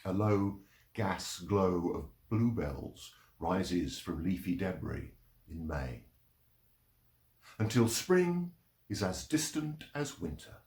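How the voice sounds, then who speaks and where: A man's voice: low voice, very animated, takes it slowly, a little mellow. A man reciting poetry aloud in an echoing space. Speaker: poetry reader. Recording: roomy, echoing sound.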